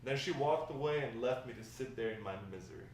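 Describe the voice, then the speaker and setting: A man's voice: mid-range voice, brisk, natural voice. Echoey, distant man reading as a reminiscing grandfather. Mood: rueful, confiding. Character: grandfather fondly recounting his wild, lovestruck youth. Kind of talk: table read of a dramatic script. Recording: echoey room, distant mic, faint noise.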